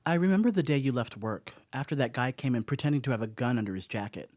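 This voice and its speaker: An actor playing a rattled, wry slacker who rambles through a confession in a horror comedy radio play. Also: male voice, mid-range voice, animated, fast, a little mellow, dry recording, studio quality, lightly acted. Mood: uneasy, wry.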